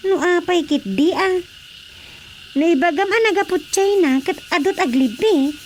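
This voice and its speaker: A woman's voice: very high-pitched, normal speed, a little hiss or hum. A woman with a Filipino accent playing an earnest village daughter in a radio drama. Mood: explaining. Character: earnest village daughter explaining local worries.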